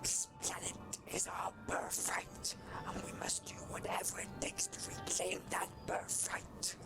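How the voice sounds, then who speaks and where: An actor playing a menacing, imperious reptilian monster leader in an audio drama, with a hissing, rasping whisper and slow, grandiose speech. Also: natural voice. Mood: rallying, grandiose. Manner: slow, grandiose, theatrical proclamations. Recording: loud music bed under voice.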